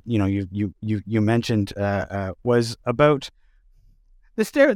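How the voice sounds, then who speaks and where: A man with a mid-range voice conducts a casual, conversational interview. He talks haltingly, often doubling back on words as he works out a thought. The recording is dry, with little room sound. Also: mid-range voice, clean tone, dry recording. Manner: halting, repeats words while forming thoughts. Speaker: interviewer, host.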